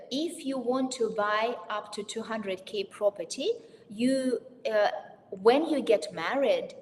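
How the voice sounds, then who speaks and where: A woman with a Russian accent speaks at a middling pitch, taking questions and answering them as a consultant would in a webinar. Her voice rings in a long reverb, with faint rumbling noise underneath. She talks informally and addresses her listeners directly. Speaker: real estate consultant hosting a webinar.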